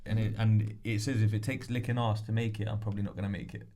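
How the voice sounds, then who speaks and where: A man hosting a podcast, talking in relaxed street slang and backing up his guest. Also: on the low side, fast talker, slight background noise. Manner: laid-back, affirming street slang. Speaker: podcast host. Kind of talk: casual chat.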